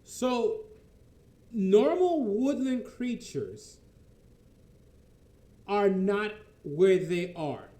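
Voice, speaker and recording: Guy doing casual reaction commentary, high voice, kinda echoey room, stumbles and repeats himself a lot. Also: big pitch swings, extremely slow, long pauses, mellow. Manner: stammering, repeats words for emphasis. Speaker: reaction video host.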